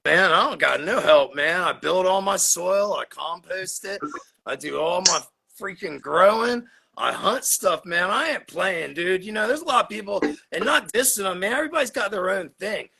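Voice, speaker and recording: A man's voice: high voice, animated, moderate pace, vocal fry, sharp tone, breathy edge. A man in his forties from Florida, telling his story as a podcast guest in a laid-back, swearing, laughing way. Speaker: podcast guest, cannabis grower. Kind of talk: casual podcast chat.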